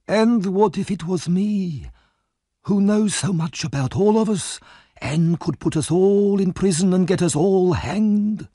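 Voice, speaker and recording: A man reading aloud and voicing a scheming, hateful old gang leader who talks slowly and slyly. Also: sits high, dark tone, no room sound, clean studio sound, pitched above the performer's own voice. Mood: menacing. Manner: slow, sly, menacing.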